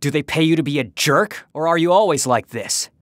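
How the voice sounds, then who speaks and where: A man's voice: fairly high pitch, animated, brisk, dry recording, clean studio sound, natural voice. An actor playing a hot-headed but protective young man in a visual novel game. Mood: irritated.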